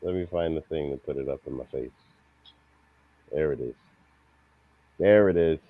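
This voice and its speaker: A man hosting a film review show in casual, informal chat with an animated manner. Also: extremely low pitch, normal speed, dry recording, narrow, tinny audio.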